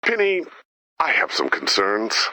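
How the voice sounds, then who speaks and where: Worried, wildly swooping game comrade. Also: male voice, on the low side, wildly swooping pitch, measured pace, bright, forceful tone, dry recording, full character voice, poor audio. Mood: concerned. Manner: worried, dry. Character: anxious, cautious, pessimistic male game comrade. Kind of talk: video game voice lines.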